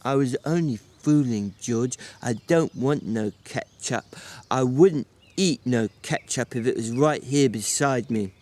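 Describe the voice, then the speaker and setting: A man's voice: mid-range voice, a little slow, darkish tone, natural voice. A man voicing a timid, simple-minded big man who pleads stubbornly and childishly in a dramatic novel reading. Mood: apologetic.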